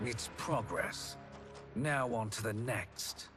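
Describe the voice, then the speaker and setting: An actor voicing a cunning, smug sorcerer in a video game, with formal, wry and silky diction. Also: male voice, mid-range voice, takes it slowly, over loud music, lightly acted. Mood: dryly amused. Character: cunning, smug sorcerer, smooth and sardonic schemer. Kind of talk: dramatic video game voice acting.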